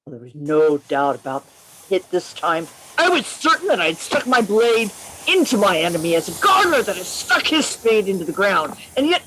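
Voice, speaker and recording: A bold gentleman adventurer played by a woman tells his tale as in a radio play. The delivery is theatrical and emphatic, with a very lively pitch that swings around a middle register. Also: normal speed, very noisy, close to an everyday voice. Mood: tense narrating. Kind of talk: radio play reading, first-person narration. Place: remote reading over a video call.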